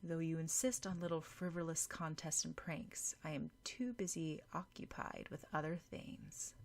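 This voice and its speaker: A woman's voice: low-pitched, unhurried. Woman voicing an aloof, dismissive brother. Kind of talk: audiobook character dialogue.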